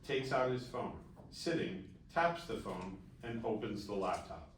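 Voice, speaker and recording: A man's voice: lowish voice, sing-song, measured pace. A man narrating a screenplay at a table read, reading stage directions aloud. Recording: very echoey room, distant mic, faint noise.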